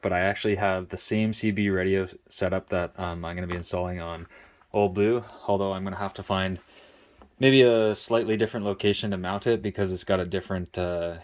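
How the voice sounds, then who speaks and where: A man hosting a casual how-to vlog, talking informally and thinking aloud as he explains. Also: low voice. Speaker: vlog host showing a DIY truck install.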